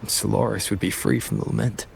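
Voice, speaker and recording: A man voicing a calm, resolute young hero in a video game, earnest and understated in his delivery. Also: lowish voice, fast, gravelly, slight background noise. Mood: quietly resolute. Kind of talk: scripted video game voice acting.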